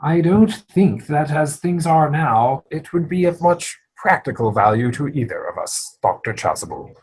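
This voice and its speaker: A woman playing an earnest, formal young gentleman suitor in a comic play reading, with an insistent manner. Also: low-pitched, lively intonation, normal speed, breathy edge, poor audio.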